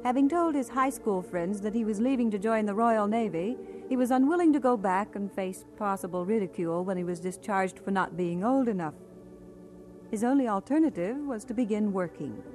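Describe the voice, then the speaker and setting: A woman's voice: medium pitch, a little fast, a little mellow. A woman narrating a radio drama in a scripted biographical style. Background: music.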